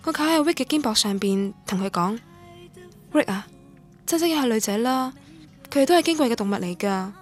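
Actress as a wistful young student, highish voice. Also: female voice, expressive, a little fast, long pauses, faint music behind, close to an everyday voice. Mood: gently teasing. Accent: Cantonese from Guangdong. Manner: wistful, literary, reflective. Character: wistful young woman student recalling lost love, lonely. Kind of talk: scripted radio drama narration.

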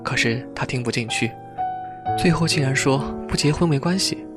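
A man acting a polite, earnest gentleman confiding awkwardly in an audio drama, in measured dialogue. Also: mid-range voice, music in the background, close to an everyday voice.

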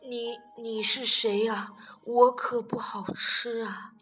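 Woman playing a blustering male T. rex, stammers, slow drawn-out delivery, high and very lively, reverberant room, soft music under. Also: heavily acted. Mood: timid. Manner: stammering, gleeful taunting cackles. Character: big blustering male T. rex bully, softening. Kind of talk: children's audio story acting.

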